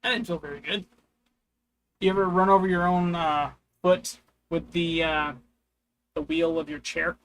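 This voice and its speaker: A man's voice: fairly high pitch, steady tone, unhurried, pauses often, a bit bright. A man streaming from a hot room, his voice crackly and strained, chattering to himself while he plays. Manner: rambling, talking to himself while playing. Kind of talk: casual live-stream chatter. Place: hot, humid room with two PCs.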